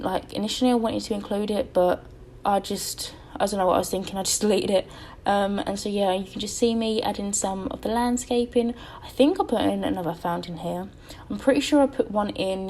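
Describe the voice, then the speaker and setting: A young woman chats her way through a voice-over, her voice tired and faintly crackly. She rambles and stops often to correct herself, with plenty of "um" between thoughts. Her pitch sits right in the middle for a woman. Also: dark tone. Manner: rambling, chatty, self-correcting with many ums. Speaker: game building YouTuber. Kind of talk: casual voice-over over gameplay.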